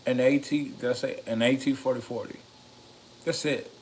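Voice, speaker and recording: A raspy-voiced man rambling casually about microphones, slow and meandering, with many ums and a slightly loaded, loose delivery. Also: mid-range voice, steady tone, slight background noise, low-quality recording. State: a bit intoxicated. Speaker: home studio vlogger reviewing microphones. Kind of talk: rambling casual talk to camera.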